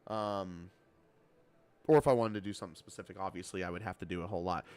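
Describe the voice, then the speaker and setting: A man's voice: low voice, fairly even intonation, fast, a little mellow, clear, resonant tone. A man of twenty-seven chatting casually on a game livestream, bantering playfully and swearing freely. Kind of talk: casual livestream chat while gaming.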